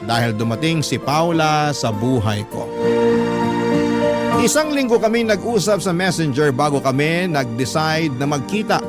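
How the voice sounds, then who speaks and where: Male Filipino radio storyteller, fairly high pitch, dramatic scripted first-person narration, prominent music bed. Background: loud background music.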